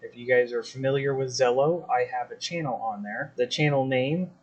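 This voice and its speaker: A man's voice: mid-range voice, normal speed, darkish tone, husky, dull audio. American man casually explaining things to viewers. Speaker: video host, CB radio hobbyist.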